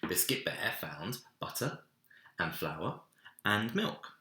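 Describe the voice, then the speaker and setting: A man reading a children's story aloud in an expressive narrating style, recorded in an echoey room. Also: low-pitched, takes it slowly. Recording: roomy, echoey indoor sound.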